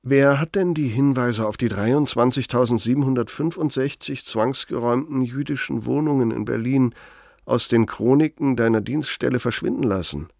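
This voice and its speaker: A man's voice: medium pitch, vocal fry, darkish tone. An actor playing a bitter, sardonic older former right-hand man in a radio play, speaking with needling, threatening emphasis. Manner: sarcastic, needling, emphatic, drawn-out phrasing for menace. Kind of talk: radio play dramatic monologue.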